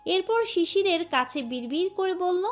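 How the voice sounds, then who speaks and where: A woman's voice: extremely high pitch, lively intonation, moderate pace. A woman giving scripted narration of a romantic story. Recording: music bed under voice.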